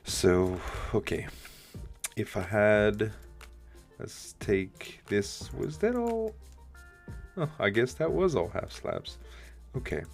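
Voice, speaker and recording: A man with a deep voice, a bit rough from the morning, casually thinking aloud while building in a game he hosts. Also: animated, slow pace, pauses often, faint music behind. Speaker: let's play host. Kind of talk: casual gameplay commentary.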